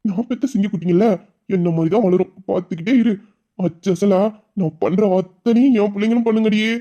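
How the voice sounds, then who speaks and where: A woman acts as an emotional young husband in an audio melodrama, speaking quickly in a very dark, soft-edged tone. He is tender and protective, cooing over his family. The recording is dull and muffled. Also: mid-range voice, natural voice. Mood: playful. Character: doting, emotional young husband and new father. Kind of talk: melodramatic Tamil audio drama.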